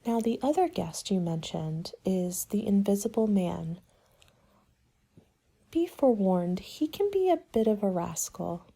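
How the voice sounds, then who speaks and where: A woman doing a soft-spoken ASMR roleplay as a helpful party planner, gentle and measured, often slipping into a whisper. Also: mid-range voice, very animated, gravelly, breathy edge.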